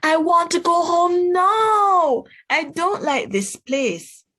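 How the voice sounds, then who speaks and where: Female reader as demanding small storybook boy: raised pitch, whiny, insistent. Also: animated, slow, bright, forceful tone, exaggerated voice. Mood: upset, impatient.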